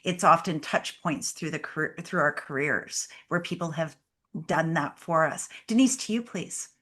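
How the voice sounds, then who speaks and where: A woman with a fairly low voice moderates a panel over a video call. She affirms each speaker's point and then hands the floor to the next by name. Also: normal speed, fairly bright tone.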